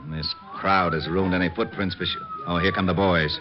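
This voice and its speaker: An American actor playing a gruff, beleaguered police lieutenant in 1940s radio drama style. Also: male voice, low-pitched, moderate pace, noisy background, close to an everyday voice. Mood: gruff, weary. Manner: gruff, harried. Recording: dull old radio recording.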